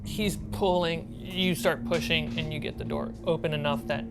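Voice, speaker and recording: A woman's voice: low voice, fairly even intonation, normal speed, loud music behind the voice. A woman running a tabletop game as game master, describing scenes in a casual, improvised way.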